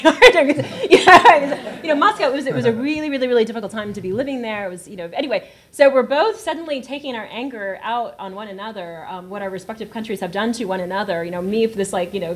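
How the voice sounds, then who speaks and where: Woman, 30s, panel storyteller; bright, lively, high voice, laughs through her story. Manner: animated, laughing, acts out the scene. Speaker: panel writer. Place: gallery event room with audience. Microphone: table mic.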